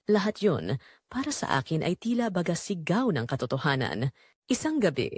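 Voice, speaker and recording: A woman's voice: lowish voice, husky, dry recording, studio quality. A woman with a Filipino accent reading a scripted first-person drama in an emotive, solemn way. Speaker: audio drama narrator.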